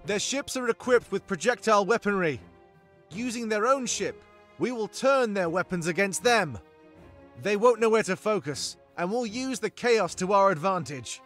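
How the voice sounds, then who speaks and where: Man voicing a high-pitched, commanding rebel leader. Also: lively intonation, moderate pace, fairly bright tone, soft background music. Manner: commanding, emphatic. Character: bold, commanding male rebel commander rallying troops.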